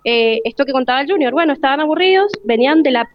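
A woman's voice: sits high, expressive, fast, dry recording. A woman with an Argentine accent, a teacher explaining things colloquially in a live radio interview. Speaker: school teacher. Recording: slightly dull, lo-fi live broadcast sound.

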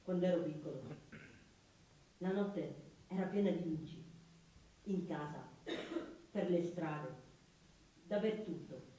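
A gentle, melancholy man in a theatre piece. His voice is soft-toned and dark, his words plain, timid and wistful. He sounds far off on a heavily reverberant stage. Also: a little high, slow pace, pauses often. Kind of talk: intimate stage theatre acting. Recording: very echoey theatre stage from afar.

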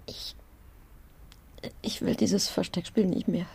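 A woman's voice: lowish voice, fairly even intonation, slow, warm tone, heavily acted. An actress playing a hurt, brooding woman who whispers her secret thoughts in a radio drama. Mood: bitter. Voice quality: breathy whisper. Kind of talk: radio drama inner monologue.

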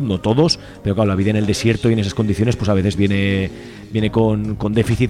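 A man hosting a radio interview, often restarting words and adding tag questions. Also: fairly low pitch. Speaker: radio host.

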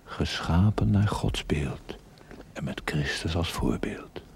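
A male actor playing a stern, pious inner conscience in a TV drama, speaking in a solemn, preachy, breathy whisper. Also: low-pitched, slow, darkish tone, full character voice. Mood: solemn reproach. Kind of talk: television drama acting.

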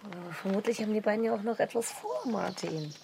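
A woman's voice: medium pitch, fast talker, creaky, heavy background noise, lightly acted. An actress playing a stressed, overeager wife in her forties, wry and insistent, in a naturalistic radio drama. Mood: restlessly keen. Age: 40s.

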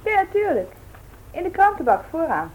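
A woman's voice: very high voice, big pitch swings, moderate pace, narrow, tinny audio. An actress in a Dutch radio play, voicing a chatty, attentive young woman. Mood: chatty, helpful. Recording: old radio recording with faint noise.

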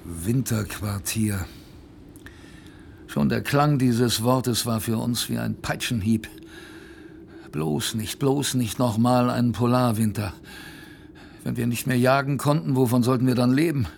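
An actor playing a plain-spoken former polar sailor, narrating a radio play as a wry, reflective recollection with a gravelly, husky voice. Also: male voice, medium pitch, unhurried, long pauses, a little mellow, slight background noise. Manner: colloquial, hesitant, wry, reflective.

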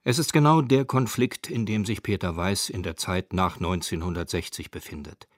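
A man's voice: deep voice, moderate pace, no echo, clean studio sound. A man reading scripted narration for a radio documentary.

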